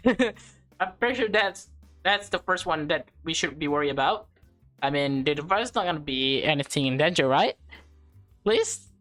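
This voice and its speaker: A woman with a slightly low voice narrates a visual novel for a playthrough. She moves between read-aloud story text and informal reactions, with music playing underneath. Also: animated, moderate pace, fairly bright tone. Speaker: let's play streamer reading and reacting to a visual novel. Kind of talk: read-aloud narration with casual live commentary.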